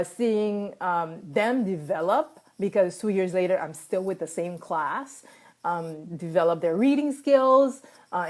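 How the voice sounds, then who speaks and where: A woman's voice: mid-range voice, a little mellow. A woman in her thirties whose first language is French, giving a casual motivational talk full of fillers, restarts and laughs. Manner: chatty, many fillers and restarts, laughs often. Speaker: speaker sharing personal advice.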